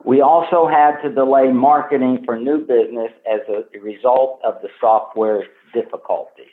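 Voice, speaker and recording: Over a narrow, echoing phone line, a man delivers a scripted financial presentation. His pitch sits in the middle range, and he reads formally from prepared remarks in the role of a company executive. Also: fairly even intonation, moderate pace. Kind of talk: scripted earnings call presentation. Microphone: telephone conference call. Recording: tinny phone line with echo.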